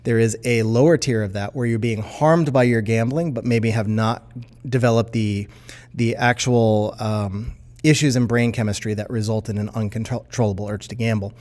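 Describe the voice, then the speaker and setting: A middle-aged man giving an explanatory interview answer as an expert, measured and careful in his wording. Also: on the low side, steady tone, darkish tone.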